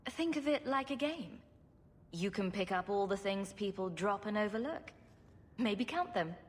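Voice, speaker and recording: An actress playing a composed, wryly blunt adventurer in a fantasy game, with a direct delivery. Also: female voice, medium pitch, lively intonation, normal speed, slightly rough, in a large hall. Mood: friendly, teasing. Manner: direct, wry. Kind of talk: cinematic fantasy game acting.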